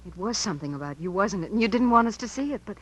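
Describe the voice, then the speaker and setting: An actress playing an eager, inquisitive young niece in a 1950s radio drama, earnest and insistent. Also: female voice, mid-range voice, lively intonation, rapid-fire, natural voice. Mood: coaxing. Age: young adult. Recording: vintage 1950s radio broadcast.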